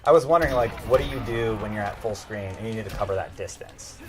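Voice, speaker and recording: A man's voice: fairly low pitch, fast talker. A man chatting casually as a co-host on a gaming show. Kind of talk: casual chat.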